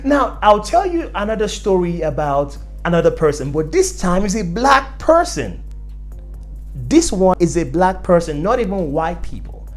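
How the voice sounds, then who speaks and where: Animated young Nigerian storyteller, lively pitch. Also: moderate pace, soft background music. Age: young adult. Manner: emphatic, repeats phrases for effect. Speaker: vlogger telling personal stories.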